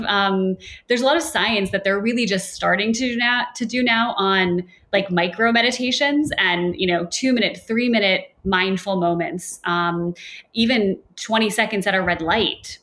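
A young adult woman teaching meditation in a relaxed, chatty way with playful asides. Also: mid-range voice. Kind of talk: casual podcast conversation.

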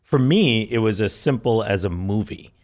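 Enthusiastic low-voiced male scientist guest. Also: lively intonation, normal speed, darkish tone. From Malaysia and India. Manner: enthusiastic, rambling, laughs often. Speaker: guest microbiologist. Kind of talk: conversational interview answers.

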